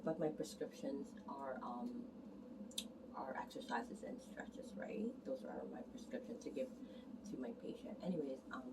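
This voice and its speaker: A woman massage therapist talking casually and earnestly to camera, rambling and correcting herself as she goes. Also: low-pitched. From Canada immigrant, arrived as a teen. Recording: distant mic, noticeable background noise.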